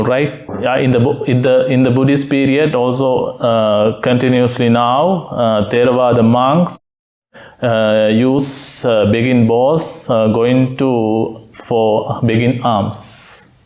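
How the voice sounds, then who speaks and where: A Buddhist monk from Sri Lanka giving a Dhamma talk, speaking in simple phrases and pausing often with "uh" between words. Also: male voice, mid-range voice, fairly even intonation, a little mellow. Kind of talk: slow, halting Dhamma talk with many fillers. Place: meditation center room.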